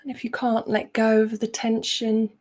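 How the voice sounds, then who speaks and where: A woman leading a guided meditation as a coach, speaking gently with frequent pauses as she gives instructions. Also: a little high, completely flat delivery.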